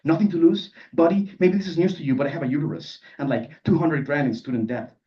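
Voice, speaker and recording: A man's voice: a little high, very animated, fairly quick, mellow, pitched above the performer's own voice. A man voicing an indignant, self-righteous young woman grad student in a live story reading, sassy and combative. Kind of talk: character dialogue in a live literary reading. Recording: dull sound, distant microphone.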